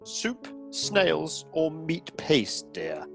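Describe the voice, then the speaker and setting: A man's voice: a little high, huge pitch swings, very slow, vocal fry, fairly bright tone, soft background music, exaggerated voice. A man playing a rude, impatient, dramatic wealthy diner in a comic radio play, bossy and sardonic. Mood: mocking.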